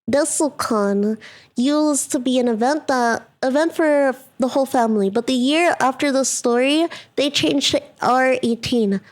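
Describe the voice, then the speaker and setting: High-pitched young woman, giggly, reads posts out loud and riffs on them, streamer chat. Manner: giggly, reads stories aloud then riffs crudely. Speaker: VTuber reacting to a video. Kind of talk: casual reaction commentary, reading posts aloud.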